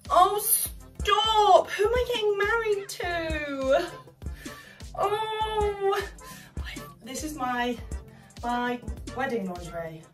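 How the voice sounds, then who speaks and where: A young woman chatting and giggling about an outfit she is trying on, talking to herself in a lively way. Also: very high voice, extremely slow. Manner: giggly, animated self-talk. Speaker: lingerie reviewer. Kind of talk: casual try-on chat. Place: bright bedroom indoors. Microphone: camera across the room. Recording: echoey room, distant mic, music behind.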